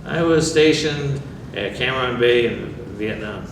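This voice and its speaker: An older man from New York State, a veteran answering questions in an oral history interview in a plain, measured way with dry humor. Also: medium pitch, gravelly. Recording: echoey room, far mic, background noise.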